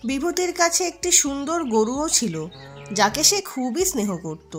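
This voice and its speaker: A woman narrator reading a scripted storybook tale for a cartoon. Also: sits high.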